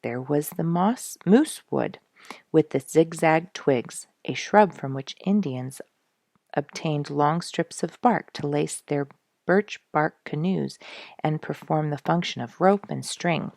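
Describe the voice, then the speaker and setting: A woman reading a story aloud as an expressive, deliberate narrator. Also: low-pitched, gravelly, dry recording, studio quality.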